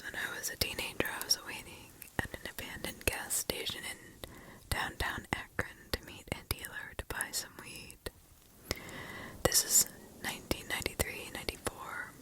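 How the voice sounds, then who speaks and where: A woman reads scary stories in a hushed, breathy ASMR whisper with deliberate pauses, close to the microphone. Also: low-quality recording. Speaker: ASMR host. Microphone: close ASMR microphone, ear to ear.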